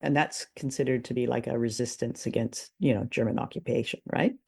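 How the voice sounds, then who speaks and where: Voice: mid-range voice, fairly even intonation, fairly quick, vocal fry, darkish tone, breathy edge. A podcast host talking in a casual, explanatory discussion style.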